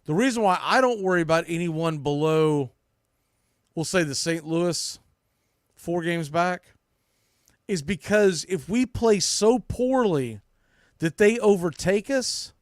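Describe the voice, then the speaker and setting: A man's voice: sits high, animated, slow pace, pauses often, no echo, clean studio sound. A man hosting a sports podcast, giving casual, opinionated commentary in an informal, emphatic way.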